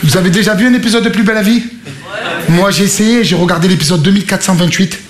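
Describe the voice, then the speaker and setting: A young man from Marseille with a Marseille accent doing a stand-up set, telling stories with punchy, animated mock outrage. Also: sits high, quick pace. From Marseille, France. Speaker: stand-up comedian. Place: comedy club stage. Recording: echoey club with loud crowd. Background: audience laughter.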